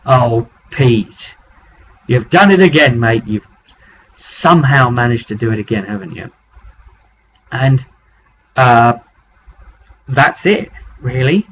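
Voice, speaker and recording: A British man chattily recapping a tabletop roleplaying session as game master, in a casual, informal vlog style with asides to the viewer. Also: medium pitch. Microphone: webcam microphone. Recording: muffled webcam audio with clear noise.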